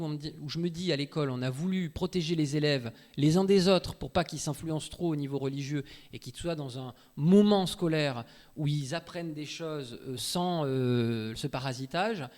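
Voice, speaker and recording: A man's voice: a little high, darkish tone. A man giving an informal talk as a guest legal expert, explaining and arguing his view with frequent asides and self-interruptions. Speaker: guest speaker, law researcher. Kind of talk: informal talk answering audience questions.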